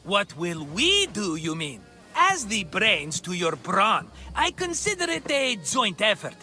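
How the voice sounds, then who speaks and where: An actor playing a cheerful, cowardly schemer, talking in a theatrical, wheedling, grandiose way in a video game. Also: male voice, sits high, wildly swooping pitch, a little slow, bright, forceful tone, soft background music, full character voice. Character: scheming, cheerful, cowardly small-time hustler, grown man. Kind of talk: video game cutscene acting.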